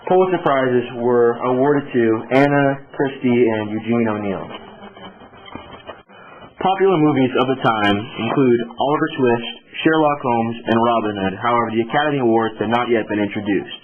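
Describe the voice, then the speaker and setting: A man's voice: mid-range voice, moderate pace, a little hiss or hum. Through a thin, phone-like radio sound, a man hosts a show. He reads scripted segments in a mid-range voice, presenting news and culture as a year in review.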